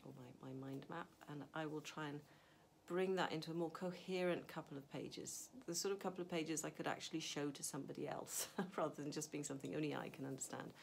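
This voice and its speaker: A woman's voice: deep voice, brisk, vocal fry, a little hiss or hum. A middle-aged woman novelist talking to camera from her home study, chatty and thinking aloud with fillers and restarts. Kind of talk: casual advice talk to camera. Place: home study with a map on the wall.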